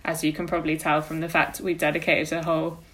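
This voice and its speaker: A woman's voice: sits low, little pitch movement, quick pace, fairly bright tone. A woman co-hosting a podcast, talking casually and informally in loose, meandering phrases. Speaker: podcast co-host.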